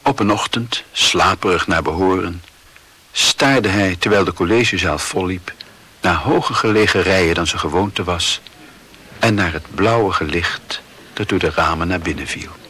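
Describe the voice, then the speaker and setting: A man's voice: deep voice, normal speed, pushed and bright, slightly rough. A man narrating a literary radio play as a scripted storyteller.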